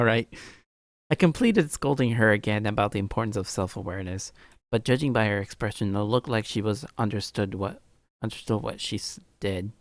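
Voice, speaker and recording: A man's voice: fairly bright tone, no room sound, clean studio sound. A man livestreaming a let's play, reading story narration aloud with casual asides, often stumbling and rereading phrases. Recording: low-quality stream audio, music behind. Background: music.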